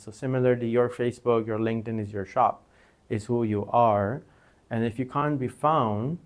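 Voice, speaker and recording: A man whose first language is Urdu, teaching an informal recorded lecture in a conversational way, with many fillers and restarts. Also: mid-range voice. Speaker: course instructor.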